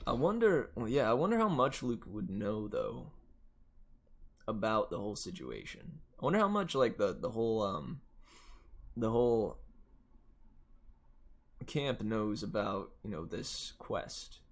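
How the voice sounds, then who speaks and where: A man's voice: lowish voice, very animated, measured pace, vocal fry, long pauses. A man hosting a read-aloud, chatting in a casual, rambling, musing way with playful colloquial wording. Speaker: host reading a book aloud to viewers. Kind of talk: casual rambling chat with viewers.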